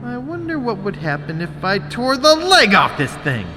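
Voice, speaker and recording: An actor playing a wimpy, wisecracking young male pirate adventurer in a video game, wry, earnest and excitable. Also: extremely high pitch, moderate pace, faint music behind, exaggerated voice. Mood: wry defiance. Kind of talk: video game character acting. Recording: long cavernous reverb.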